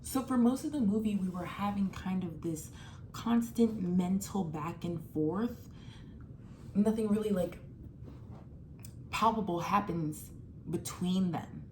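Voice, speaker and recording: Chatty young woman with a mellow voice. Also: mid-range voice, a little hiss or hum, poor audio. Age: 20s. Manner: chatty and emphatic, full of "like", swings from fast to drawn out. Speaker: movie commentator. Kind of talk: casual movie commentary.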